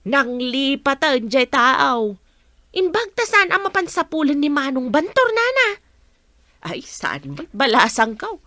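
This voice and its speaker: A woman's voice: fairly high pitch, bright, forceful tone, dry recording, heavily acted. A woman playing a chatty, gossipy village neighbour in an Ilocano radio comedy drama.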